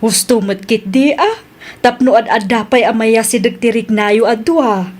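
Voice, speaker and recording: High-voiced doting Ilocano grandmother. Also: measured pace, darkish tone. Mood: fond, approving. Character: fond, approving mother and doting grandmother. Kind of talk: Ilocano radio drama acting.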